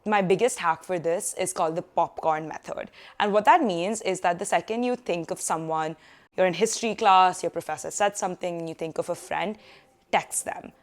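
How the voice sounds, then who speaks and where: Chatty young woman giving student advice. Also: lowish voice. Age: young adult. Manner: chatty, animated hand gestures, quick asides. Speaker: student vlogger. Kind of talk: casual advice vlog. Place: living room sofa, indoors.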